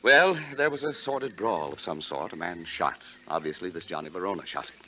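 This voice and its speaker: A man's voice: low voice, expressive, a little fast. An actor playing a fussy, scheming wealthy stepfather, florid and hesitant, in 1940s radio style. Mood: fussy, indignant. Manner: pompous, florid, flustered hesitations. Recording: muffled, noisy 1940s radio recording.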